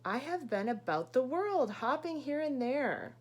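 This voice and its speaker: Woman voicing a slow, worldly storybook frog. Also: high-pitched, very animated, pitched above the performer's own voice, full character voice. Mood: proud, wondering. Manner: deliberate, dramatic, mysterious telling. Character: worldly male frog, a mysterious wonder-struck storyteller.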